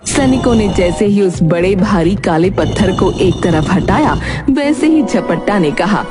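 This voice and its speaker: A woman narrating a children's cartoon story in an even storytelling manner. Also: mid-range voice, a little slow, music in the background.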